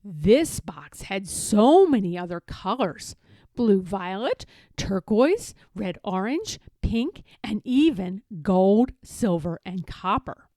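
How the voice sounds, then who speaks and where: A woman narrating a children's storybook aloud in a measured, expressive manner. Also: mid-range voice, no room sound, clean studio sound. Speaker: storybook reader.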